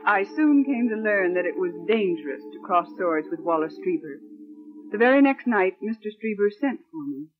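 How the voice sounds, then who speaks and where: An actress playing a young secretary, proper, wary and bitter, in crisp 1940s radio drama narration style. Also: female voice, mid-range voice, animated, normal speed, lightly acted. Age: young adult. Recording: muffled vintage radio recording, organ music.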